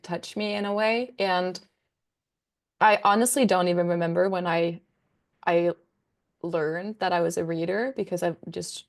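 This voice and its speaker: A woman in her twenties, a native Danish speaker, chatting casually about books as a guest, with frequent ums and likes. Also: lowish voice. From Denmark. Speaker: guest, book content creator. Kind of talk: casual conversation.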